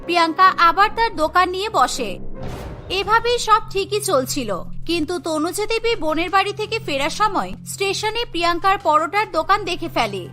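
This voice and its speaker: A woman's voice: very high voice, lively intonation, fairly quick, sharp tone. A woman narrating a scripted cartoon story. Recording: music behind the voice. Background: music.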